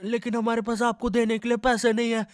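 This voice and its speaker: आदमी की आवाज़ में डरपोक, ऊँचे सुर वाला बॉब द बिल्डर. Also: male voice, very high-pitched, monotone, fast, dry recording, studio quality. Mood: sheepish. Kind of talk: Hindi cartoon parody dubbing.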